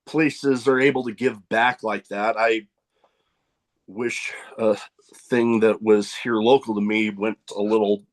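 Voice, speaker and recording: A man hosting a podcast interview, agreeable and hesitant, with many pauses and fillers. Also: on the low side, steady tone. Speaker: podcast host.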